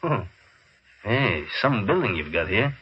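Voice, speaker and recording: Husky, harried radio-drama salesman. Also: fairly low pitch, very animated, unhurried, heavily acted. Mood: curious, skeptical. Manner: blustery, conversational, muttering. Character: harried, grumbling male robot salesman. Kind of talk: old-time radio drama acting. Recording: dull, lo-fi old radio recording.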